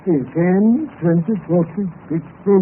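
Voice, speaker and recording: Genial old-school colonel, agreeable, highish voice that swoops about, tinny old radio play. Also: male voice, unhurried. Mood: hesitant, eager. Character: genial, bluff colonel, loyal companion to a gentleman. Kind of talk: 1950s radio drama acting. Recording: noisy, band-limited 1950s radio recording.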